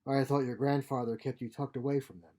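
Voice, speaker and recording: A man reading the part of a stern woman starship captain in a story, crisp, curt and intimidating. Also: medium pitch, fairly even intonation, brisk, dark tone, close to an everyday voice. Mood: skeptical.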